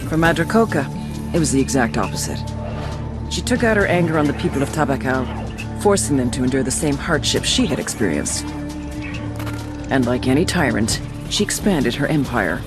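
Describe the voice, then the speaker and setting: An actor playing a wry, flippant narrator who delivers sardonic, conversational briefings on cartel crime in a game. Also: male voice, mid-range voice, big pitch swings, normal speed, pauses often, natural voice. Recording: music under the voice.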